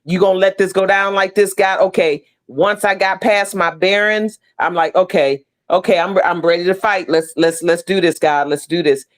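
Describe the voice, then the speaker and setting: A woman's voice: lowish voice, fairly even intonation, normal speed, hardly any pauses. A middle-aged woman speaking casually and effusively as an interview guest on a video call, stressing her points. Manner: emphatic, effusive. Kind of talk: casual heartfelt interview talk.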